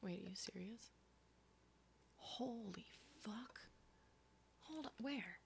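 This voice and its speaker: A woman's voice: medium pitch, expressive, slow, creaky, noisy background. A woman playing a brash, wisecracking zombie-apocalypse survivor in a whispered ASMR horror roleplay, casual, rambling and sweary. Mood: excited.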